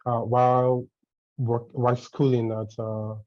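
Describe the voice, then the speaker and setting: A man from Nigeria introducing himself as an alumnus panelist and doctoral student on a video call, speaking in a brisk, flat way. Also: mid-range voice, no echo. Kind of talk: self-introduction on a webinar. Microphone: computer mic on a video call. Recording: dry, dull lo-fi call audio.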